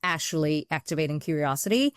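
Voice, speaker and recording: A woman in her forties with a notably low voice answers interview questions in a reflective, unhurried way, circling back to rephrase her ideas. She grew up between Taiwan and New Zealand. The sound is close and dry, with no room echo. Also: animated, clean tone. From Taiwan, raised in New Zealand. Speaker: HR consultant and author, podcast guest.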